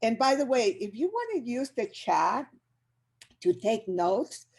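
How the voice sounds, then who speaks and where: Energetic older woman with a Chilean accent. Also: a little high, measured pace. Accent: Chilean Spanish. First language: Spanish. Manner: energetic, upbeat, addresses audience directly. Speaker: business speaker. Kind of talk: motivational webinar talk.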